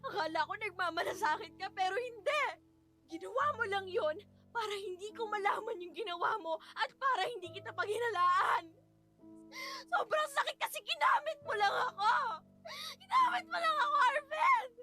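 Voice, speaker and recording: A woman's voice: extremely high pitch, lively intonation, normal speed, pushed and bright, full character voice. A woman playing a betrayed, enraged widow, accusing and impassioned in melodramatic radio drama style. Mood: anguished, betrayed. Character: betrayed, enraged widow confronting her husband's killer. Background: background music.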